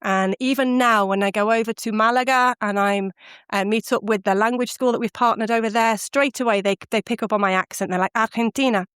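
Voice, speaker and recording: A woman's voice: fairly high pitch, steady tone, brisk, a bit bright, clean tone, no room sound, studio quality. A middle-aged woman from the UK, a guest on a podcast, telling her stories animatedly and laughing often. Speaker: guest, language course founder. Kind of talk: podcast interview answers.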